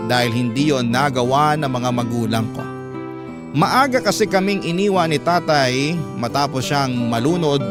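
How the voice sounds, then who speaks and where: A man playing a reflective married Filipino man who narrates his own life in a wistful, measured radio drama style. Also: mid-range voice, natural voice. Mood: wistful, sad. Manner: sentimental, measured. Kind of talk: scripted first-person radio drama narration. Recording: guitar music behind the voice.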